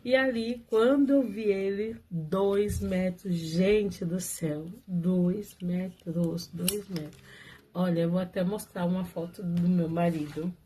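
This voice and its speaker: A woman's voice: fairly low pitch, steady tone, takes it slowly, mellow, a little hiss or hum. A woman telling viewers her own love story in a casual, chatty way, often laughing and talking straight to them.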